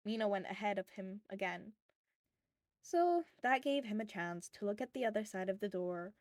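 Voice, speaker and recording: A woman's voice: medium pitch, lively intonation, normal speed, darkish tone, no echo, clean studio sound. A woman reading fan fiction aloud as scripted, audiobook-style narration.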